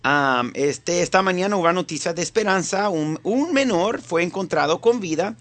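A man with a Venezuelan accent hosting a live morning radio show, talking in a somewhat halting way. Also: sits high, expressive, fairly bright tone. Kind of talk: live morning show talk with news report. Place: radio studio.

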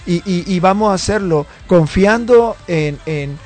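A man's voice: high-pitched, animated, a little slow, soft background music. A male pastor talking on a church program, earnest and building his points with repeated words.

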